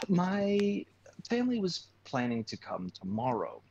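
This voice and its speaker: A man joining a livestream chat as a guest, speaking haltingly with many restarts and repeated words. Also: a little high, wildly swooping pitch, gravelly, breathy edge, muffled recording.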